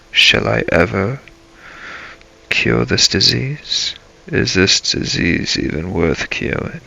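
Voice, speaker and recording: Brooding vampire adventurer played by a man, game role-play narration. Solemn, dramatic, halting; gravelly, with sharp edge. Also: low voice, full character voice, rough recording. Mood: brooding, tormented. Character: brooding male vampire adventurer narrating his dark journey. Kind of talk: improvised in-character role-play narration over gameplay.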